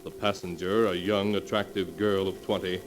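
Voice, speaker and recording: A man narrating a 1940s radio horror show as its announcer, in a solemn, theatrical, deliberate manner. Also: low-pitched, level tone. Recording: long cavernous reverb, vintage radio broadcast.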